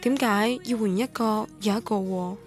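A woman's voice: mid-range voice, moderate pace, soft background music, close to an everyday voice. An actress playing a lonely young woman student, narrating her memories in Cantonese radio drama in a wistful, literary manner. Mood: gently teasing. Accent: Cantonese from Guangdong.

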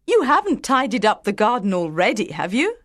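A man's voice: high voice, wildly swooping pitch, a little fast, fairly bright tone, voice pushed up, full character voice. A man voicing a smart, cheerful woman who gushes with praise. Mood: delighted. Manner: gushing, effusive praise.

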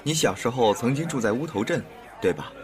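A man's voice: mid-range voice, expressive, fairly quick, crowd noise, lightly acted. A man playing a polite young professional on a first date in a radio drama. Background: music.

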